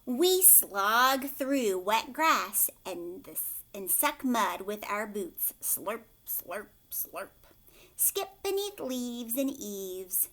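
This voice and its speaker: A woman reading a picture book aloud to young children as a teacher, expressively, drawing words out and dropping into hushed asides with questions for her listeners. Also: on the high side, big pitch swings, very slow.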